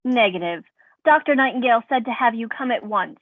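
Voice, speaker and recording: Female robot assistant, played by a woman: higher-pitched, clipped, formal, deadpan wit, sci-fi stage play, tinny telephone-like sound. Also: brisk. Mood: formal, insistent. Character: polite, dry-witted robot assistant, female, formal and teasing. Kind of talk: staged reading of a science fiction play.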